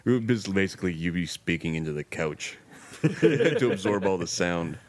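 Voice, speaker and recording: A man's voice: sits low, moderate pace. A man with a nasal voice hosting a casual podcast chat, joking and pushing his friends along. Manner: animated, joking, pushy. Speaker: podcast host. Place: home garage.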